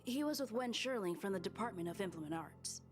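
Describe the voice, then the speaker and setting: An actress playing an earnest, worried security officer in a video game, serious and plainspoken. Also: female voice, mid-range voice, lively intonation, fairly quick, faint music behind, natural voice.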